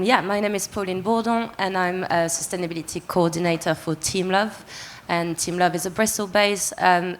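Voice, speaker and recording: A woman with a somewhat low voice introduces herself on a panel. She speaks in a friendly, easygoing way with little fillers. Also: fairly bright tone. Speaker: sustainability coordinator and lecturer.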